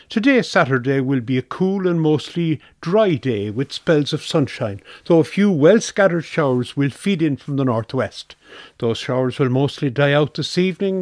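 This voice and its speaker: A man's voice: medium pitch, no room sound, clean studio sound. A man reading a scripted weather forecast for radio.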